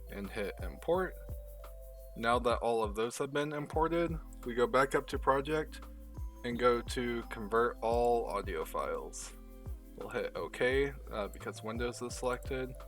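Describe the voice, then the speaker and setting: A man narrating a step-by-step software tutorial in a casual, informal way, talking through each step as he does it. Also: mid-range voice, recorded from a distance. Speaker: tutorial host. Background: background music.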